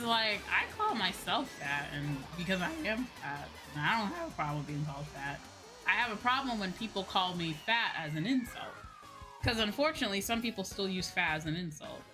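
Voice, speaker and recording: A woman's voice: lowish voice, low-quality recording. A woman livestreamer chatting casually while gaming, rambling with asides and swearing freely in an informal way. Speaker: livestreamer, VTuber host. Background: music behind the voice.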